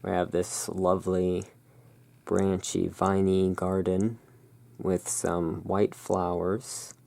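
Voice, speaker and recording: An ASMR host in a gothic shopkeeper roleplay, musing in an unhurried, gently whimsical way while commenting on cards. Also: deep voice, little pitch movement, gravelly, dry recording. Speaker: host playing a gothic curiosity shop owner.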